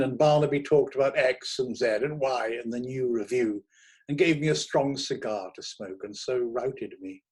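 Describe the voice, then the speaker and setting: A man narrating a literary short story in a theatrical, expressive manner. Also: medium pitch, breathy edge. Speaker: reader of short stories.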